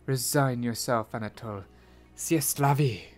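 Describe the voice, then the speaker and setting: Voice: mid-range voice, unhurried, soft background music, natural voice. A performer voicing a dismissive, shrugging male mouse in a storybook, scoffing and matter-of-fact. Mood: resigned.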